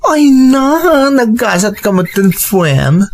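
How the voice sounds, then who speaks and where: Slow-talking supportive Filipino girlfriend, played by an actress. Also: a little high, big pitch swings, a little mellow, a little hiss or hum, heavily acted. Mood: admiring. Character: supportive young female friend, chatty and admiring. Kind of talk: Ilocano audio drama acting.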